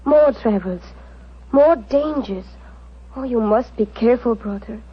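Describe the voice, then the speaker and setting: Solemn, high-voiced fortune-teller, muffled old radio. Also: female voice, husky. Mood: ominous. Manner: solemn, dramatic, deliberate phrasing. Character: mysterious Romani fortune-telling woman, musical, proud and wistful. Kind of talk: 1940s radio acting. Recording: dull, muffled 1940s radio recording.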